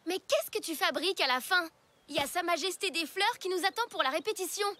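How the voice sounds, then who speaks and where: Un enfant moqueur, voix aiguë. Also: female voice, very high voice, fairly quick, sharp tone. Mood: grumbling. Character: prehistoric child, sardonic and grumbling friend. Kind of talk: animated cartoon voice acting in French. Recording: music behind the voice.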